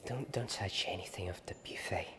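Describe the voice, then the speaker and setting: A man playing a fussy, hesitant guest at a ghostly feast in a soft-spoken ASMR roleplay, muttering and repeating himself. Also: deep voice, brisk, close to an everyday voice. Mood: wary, confiding.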